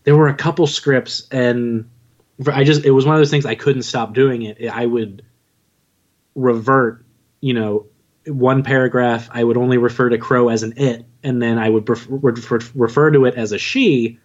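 Man, podcast guest, casual interview, halting and self-deprecating, average pitch, dull audio. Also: steady tone. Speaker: podcast guest, audio drama writer and narrator.

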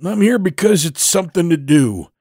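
A man voicing a genial, boastful Navy veteran in his eighties for an audio storybook, folksy and orating, with drawn-out emphatic phrases. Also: sits high, animated, darkish tone, breathy edge, no echo, clean studio sound, pitched above the performer's own voice. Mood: genial, pleading. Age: 80s.

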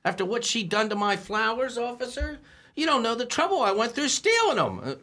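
A man's voice: very high voice, very animated, a little fast, sharp tone, full character voice. An actor playing a nervous, lovestruck young man who stammers and boasts in an old-time radio comedy. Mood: indignant, flustered. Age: young adult. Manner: stammering, naive, slangy. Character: nervous, lovestruck, boastful twenty-one-year-old suitor.

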